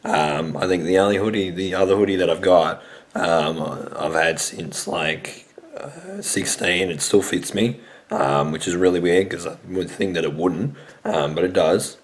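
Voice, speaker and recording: Hoarse, raspy man, low voice. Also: unhurried. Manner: rambling, many hesitant fillers. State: hoarse, losing his voice from a sore throat. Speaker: sports show host. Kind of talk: casual sports vlog talk.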